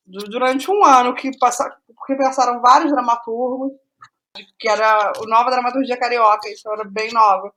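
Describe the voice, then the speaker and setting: High-voiced Brazilian woman, thinking aloud. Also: a bit bright, rough recording. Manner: halting, repeats phrases while thinking aloud. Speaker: guest playwright. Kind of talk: casual interview conversation.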